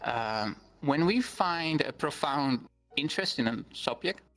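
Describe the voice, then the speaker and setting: A man whose first language is Dutch, a guest on an interview, explaining things earnestly with many pauses and ums. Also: a little high, animated, fairly bright tone, soft background music. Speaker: guest streamer. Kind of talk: interview conversation.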